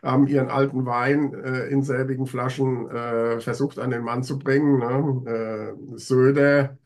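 A man's voice: medium pitch, level tone, a little slow, a little mellow. An older man with a stuffy head cold talks over a webcam call. He is sardonic and emphatic and drags out his complaints. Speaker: co-host. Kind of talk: casual political chat. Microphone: video call webcam.

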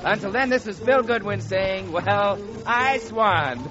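A man's voice: high-pitched, unhurried. A man announcing in 1940s radio commercial style, with polished, folksy salesmanship. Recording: dull 1940s radio, music behind.

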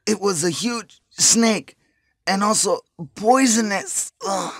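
Brief: wounded male soldier, weak, pained, halting and slow, stilted retro game acting. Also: sits high, big pitch swings, gravelly, dry recording, clean studio sound, exaggerated voice. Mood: pained warning. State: in pain, weak. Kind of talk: 1990s video game voice acting.

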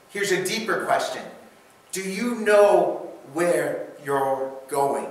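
A middle-aged man preaching a sermon as pastor, earnest and halting with restarts, recorded by a far camera in a dim church hall. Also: sits high, a bit bright. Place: dim church hall before a projection screen. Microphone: far camera, no close mic.

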